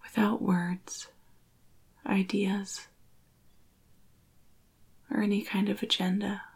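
A woman leading a spiritual guided meditation in a hushed, breathy near-whisper, slow and soothing with long pauses. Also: mid-range voice, level tone.

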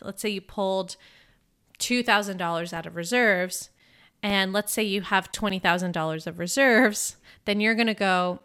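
A woman's voice: medium pitch, fairly bright tone, smooth. A young adult woman hosting a money podcast as a financial coach, talking in a casual, upbeat coaching tone with a congested, slightly groggy voice and frequent "right?" check-ins. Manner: upbeat, conversational, often asks "right?".

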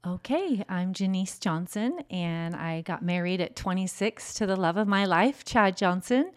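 A woman's voice: medium pitch, animated, unhurried. A woman in her fifties, a mother of many, talking earnestly as an interview guest. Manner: warm, earnest, faith-filled. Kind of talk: casual interview. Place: home studio. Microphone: large studio microphone.

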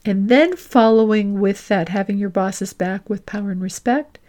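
A woman career coach hosting an instructional podcast, speaking deliberately and stressing her key phrases as she explains points and example dialogue. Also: mid-range voice, warm tone.

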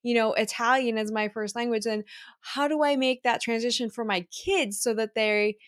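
Woman, slightly high voice, casual podcast host, enthusiastic, affirming. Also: normal speed. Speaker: podcast host, former English teacher.

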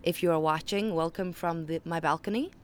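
A young woman with a Faroese accent talks to her listeners in an earnest, conversational way, often restarting her sentences. Her voice sits a little low for a woman. She records outdoors on a balcony, with birds and faint ships behind her. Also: brisk, slight background noise. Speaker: podcast host. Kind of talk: casual podcast monologue. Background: birds and distant ships.

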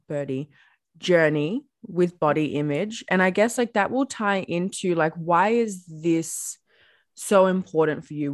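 Slow, soft-spoken woman host. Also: lowish voice, no echo, studio quality. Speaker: podcast host. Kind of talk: guided breathing and interview questions.

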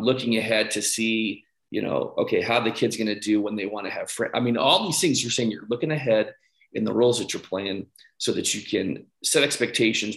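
A man with a fairly low voice hosts a conversational podcast interview. He builds his questions haltingly, repeating words as he goes. The sound is roomy, with clear echo. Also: a bit bright. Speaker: podcast host. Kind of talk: conversational coaching-style interview.

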